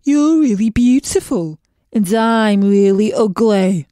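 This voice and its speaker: A woman's voice: mid-range voice, animated, takes it slowly, warm tone, dry recording, clean studio sound. A woman doing a gloomy, dragging male fish. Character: glum plain male pond fish, gloomy and wry. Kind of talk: storybook reading.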